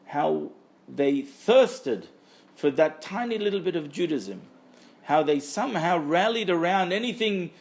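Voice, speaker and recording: Man teaching Torah, gravelly creaky voice. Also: mid-range voice, a little mellow. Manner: emphatic, repeats phrases for stress. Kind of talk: Torah lecture to a live group.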